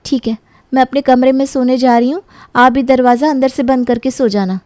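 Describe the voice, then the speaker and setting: A woman acts out a young daughter-in-law in a dramatic story. Her voice is high and emotional, polite and deferential with an anxious, pleading edge. The sound is dry and close. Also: fairly even intonation, brisk, a little mellow, no room sound, natural voice. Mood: polite, caring.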